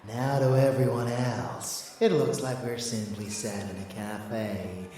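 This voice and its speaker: A man playing a rebellious vampire lover in an intimate ASMR audio drama, doting and darkly scheming, in hushed murmurs. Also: mid-range voice, level tone, recorded from a distance, slight background noise, lightly acted. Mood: conspiratorial, affectionate. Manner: slow, hushed, murmured endearments. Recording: heavy echo, music underneath.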